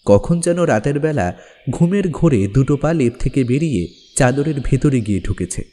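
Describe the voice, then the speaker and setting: A man's voice: mid-range voice, animated, normal speed, dark tone. A man narrating a scripted ghost story in a dramatic, suspenseful manner. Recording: long reverb over background music. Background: music.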